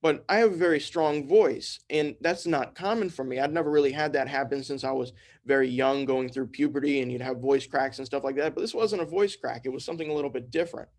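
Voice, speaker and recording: A man's voice: mid-range voice, quick pace, a little mellow. A young man giving an informal, earnest spiritual talk, repeating phrases and restarting often. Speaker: trance channel and speaker. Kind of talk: informal sermon.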